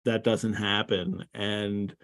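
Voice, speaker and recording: An older man cohosting a casual book chat over a video call from a room lined with bookshelves, talking in halting bursts with many fillers. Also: lowish voice, level tone, vocal fry, a bit bright. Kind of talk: casual chat about a novel.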